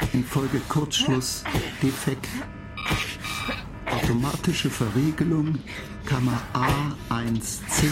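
An actor in a radio play voicing an impassive spaceship computer that makes clipped, monotone technical announcements. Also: mid-range voice, natural voice. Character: impassive spaceship computer reporting faults and countdowns.

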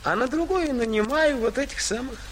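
An actor playing a cheerful, hospitable poor bast-shoe maker who laughs often, in an audio fairy tale. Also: male voice, extremely high pitch, brisk, slight background noise. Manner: jolly, laughing often, eager to welcome.

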